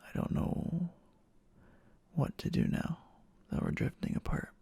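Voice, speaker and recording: A man playing a clingy, obsessive, lovestruck boyfriend in an intimate ASMR roleplay, speaking in a halting, tender way with a breathy voice that often drops near a whisper. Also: dark tone, dry recording, lightly acted. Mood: anxious, apologetic, pleading. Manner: halting, pleading, tender endearments.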